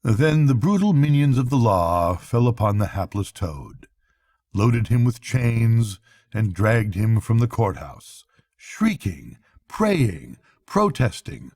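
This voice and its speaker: An older man narrating a bedtime story aloud as a storyteller, with measured, dramatic phrasing. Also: mid-range voice, big pitch swings, a little mellow, no echo, clean studio sound. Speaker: storyteller, grandfather reading aloud.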